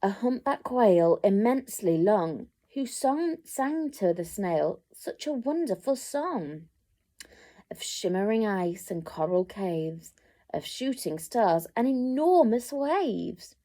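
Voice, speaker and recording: A woman reading a picture book aloud to children, with expressive, dramatic emphasis and direct asides to young listeners. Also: mid-range voice, takes it slowly.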